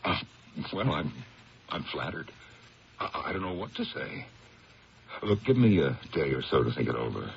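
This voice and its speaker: An actor playing an upright, suspicious district attorney with a firm, blunt, questioning manner in a 1970s radio drama. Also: male voice, rumbling low, big pitch swings, normal speed, gravelly, long pauses, heavily acted. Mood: hesitant. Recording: muffled, noisy 1970s radio broadcast.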